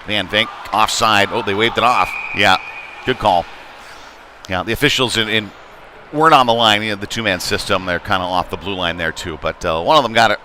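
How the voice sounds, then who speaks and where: Man calling hockey over clear rink noise. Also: on the low side, pushed and bright. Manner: halting, folksy, conversational. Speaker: play-by-play commentator. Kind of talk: live youth hockey commentary. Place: indoor ice rink during a game. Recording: noisy arena, clear rink ambience behind.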